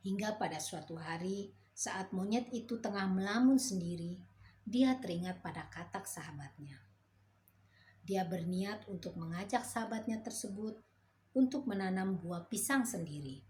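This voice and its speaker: A woman storyteller reading a fairy tale to children in a gentle, motherly way. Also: medium pitch, normal speed, a little hiss or hum.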